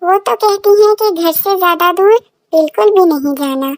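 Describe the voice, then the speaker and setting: Woman acting very high-pitched stubborn little girl. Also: moderate pace, dry recording, studio quality. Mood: sulky, defiant. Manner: childlike, simple, pleading complaints. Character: stubborn little village girl, frightened and tearful when lost. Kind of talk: children's cartoon story acting.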